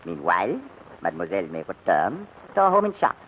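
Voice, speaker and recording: A man's voice: deep voice, huge pitch swings, fast talker. A man playing a curt, menacing major in 1940s radio drama, clipped and commanding. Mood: cold, businesslike. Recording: noisy, dull old radio recording.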